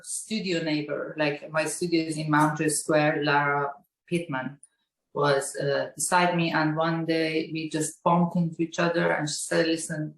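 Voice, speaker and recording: A woman from Iran, a guest artist on a video call, talking casually and hesitantly, with many fillers and non-native phrasing. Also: lowish voice. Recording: echoey room on a video call.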